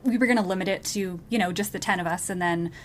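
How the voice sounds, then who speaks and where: Woman, medium-pitched voice, podcast guest, casual conversational storytelling. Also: fast, a little hiss or hum. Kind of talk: casual chat, recounting.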